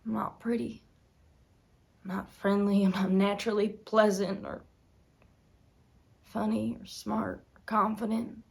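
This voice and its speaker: A woman's voice: medium pitch, level tone, a little slow, vocal fry, long pauses. A woman acting a desperate, tormented young farm wife in an intense dramatic film monologue. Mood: hushed, fragile. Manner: intense, swinging from whispers to anguished outbursts. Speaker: acting student.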